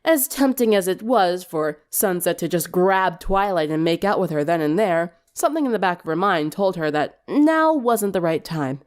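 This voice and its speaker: A woman reading a story aloud as an expressive narrator. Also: on the low side, normal speed, hardly any pauses. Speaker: fan fiction reader.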